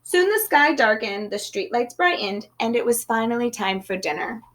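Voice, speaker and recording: A woman reading a children's picture book aloud in an expressive storytelling manner. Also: a little high, normal speed. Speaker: storybook reader.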